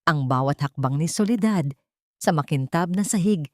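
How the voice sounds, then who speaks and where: A woman's voice: low-pitched, animated, moderate pace, darkish tone, dry recording, clean studio sound. A woman reading a scripted dramatic story as its narrator.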